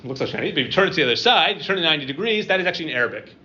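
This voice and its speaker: A middle-aged man lecturing to a live audience, conversational and halting, with lots of "um" and "right?" checks. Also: on the high side, big pitch swings, bright, forceful tone, slight background noise. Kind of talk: university-style lecture with audience questions. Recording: dull lo-fi room recording with faint ambience.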